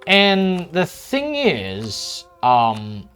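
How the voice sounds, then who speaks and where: A man's voice: on the high side, huge pitch swings, very slow, a bit bright, clear, resonant tone. A man whose first language is Cantonese, chatting casually while streaming a strategy game, rambling with many restarts and frequent swearing.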